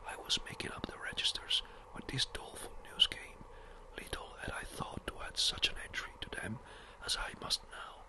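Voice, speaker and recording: A narrator whispering the lines of a brisk, somewhat impatient village rector in a ghost story. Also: natural voice, poor audio. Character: fretful elderly village rector, a man.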